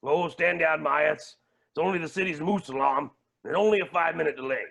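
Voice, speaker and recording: A man playing a flamboyant, menacing and unhinged billionaire villain in a staged play reading, with a raspy, creaky voice and grandiose delivery. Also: on the high side, a little fast. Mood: breezy command. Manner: theatrical, grandiose, swinging from mutters to shouts.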